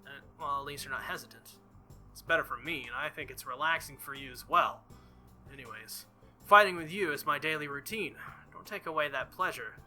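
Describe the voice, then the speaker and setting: A man's voice: a little high, brisk, bright, forceful tone, soft background music. A man voicing an earnest, flustered teenage visual novel hero in a hesitant, stammering, insistent way.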